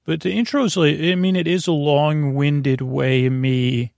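A man with a creaky voice hosting a bedtime podcast, meandering and halting through a soothing monologue full of tangents and self-corrections. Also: fairly high pitch, fairly even intonation, darkish tone, no echo. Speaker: podcast host. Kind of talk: rambling bedtime monologue meant to lull listeners to sleep.

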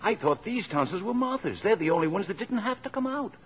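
An actor playing a brusque, careless family doctor in 1950s radio drama, folksy and offhand. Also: male voice, sits high, animated, brisk, a bit bright, husky, heavily acted. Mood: flustered.

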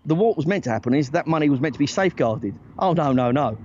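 A man, a talent agent and guest on a podcast, telling stories in a loose, animated way with lots of "right?" tag questions. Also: fairly high pitch, fast, slight background noise. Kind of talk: casual storytelling chat. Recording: dry, dull lo-fi sound.

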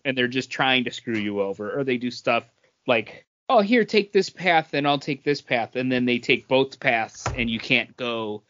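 A man with a medium-pitched voice chats casually as a podcast co-host. His intonation swings a lot and he sounds earnest and emphatic. The sound is slightly muffled. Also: expressive, moderate pace, a bit bright. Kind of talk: casual chat.

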